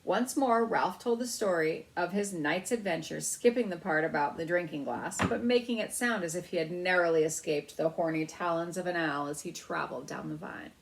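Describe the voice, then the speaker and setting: A woman reading a children's book aloud as storyteller, in an expressive narrating style. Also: lowish voice, normal speed, gravelly, few pauses, low-quality recording.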